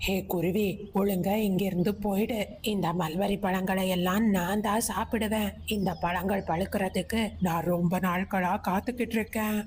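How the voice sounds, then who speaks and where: Cartoon snake, female performer: selfish, menacing, medium-pitched, faint background noise. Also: steady tone, moderate pace, few pauses, darkish tone, lightly acted. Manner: threatening, selfish. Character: mean, dangerous snake guarding its berries. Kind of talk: cartoon moral-story voice acting.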